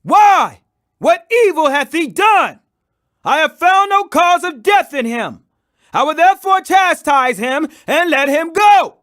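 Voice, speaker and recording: A man voicing an insistent Roman governor in a scripture audiobook, loud, emphatic and declamatory. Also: very high-pitched, animated, takes it slowly, voice pushed up, full character voice. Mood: exasperated. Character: Roman governor, male, insistent, pleading authority.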